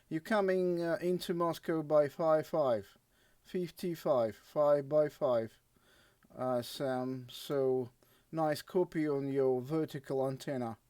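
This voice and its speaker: A man with a Russian accent chatting as an amateur radio operator, with hesitant, halting phrasing. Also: a little high, mellow, dry recording. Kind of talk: casual radio contact chat.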